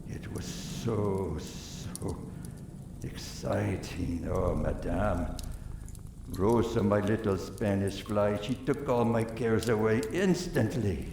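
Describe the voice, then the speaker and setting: A man's voice: on the low side, slow, gravelly. A man playing a florid, self-indulgent French lover in a radio play, lingering and swooning over his words. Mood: amorous, dreamy. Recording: echoey, distant, noisy room.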